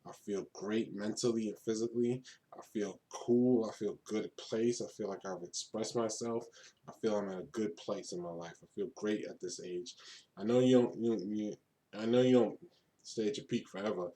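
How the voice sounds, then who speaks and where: A man's voice: fairly low pitch, mellow. A man in his thirties from Brooklyn, New York, talking casually and unscripted to the camera, rambling, repeating and restarting his phrases. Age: 30s. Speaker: vlogger.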